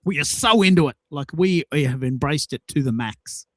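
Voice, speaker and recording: An Australian man hosts a casual show with an enthusiastic, emphatic way of talking. His voice sits a little high for a man. The sound is dry, with very little room in it. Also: expressive, moderate pace, a bit bright, no echo. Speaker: show host. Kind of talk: casual chat.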